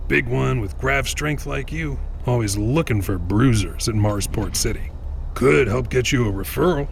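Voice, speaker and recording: Actor as a gravelly, folksy customs officer. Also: male voice, mid-range voice, moderate pace, slight background noise, close to an everyday voice. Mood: needling, sly. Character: folksy, tough, teasing, shady Martian customs officer. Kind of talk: audio drama acting.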